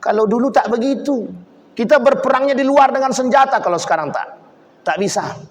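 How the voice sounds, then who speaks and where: A man's voice: very high voice, fairly quick. A man preaching a sermon to a congregation. He acts out quoted voices and keeps putting questions to his listeners. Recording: long reverb of a hall.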